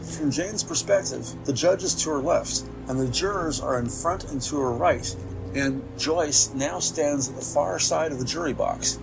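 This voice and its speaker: A man's voice: fairly high pitch, moderate pace, creaky, rough recording. A man narrating an audio drama from a script in a descriptive, theatrical storytelling manner. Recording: music behind the voice.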